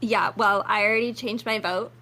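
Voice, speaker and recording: A woman's voice: mid-range voice, fairly even intonation, moderate pace, bright, forceful tone, a little hiss or hum. A woman chatting casually as a podcast co-host.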